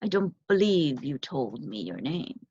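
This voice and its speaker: A woman voicing a grave, formal male owl in a storybook, speaking in measured pronouncements. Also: low voice, very animated, dark tone, breathy edge, no echo, voice pushed down, full character voice. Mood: grave, dismissive. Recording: dull, lo-fi close recording.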